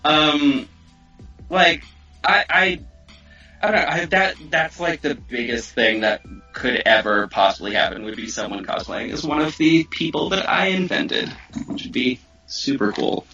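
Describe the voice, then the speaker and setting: A man's voice: a little high, lively intonation, unhurried, pushed and bright, muffled recording, soft background music. A man chatting casually as a podcast guest who makes audio drama, rambling in an informal, self-deprecating way. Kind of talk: casual chat.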